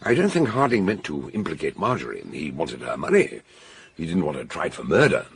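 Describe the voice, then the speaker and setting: An actor playing a jovial, commanding older detective in a British radio mystery, with a British accent, a gruff voice and a theatrical, expansive way of explaining. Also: male voice, low voice, huge pitch swings, a little fast, slight background noise, exaggerated voice. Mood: shrewd, explaining. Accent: British English.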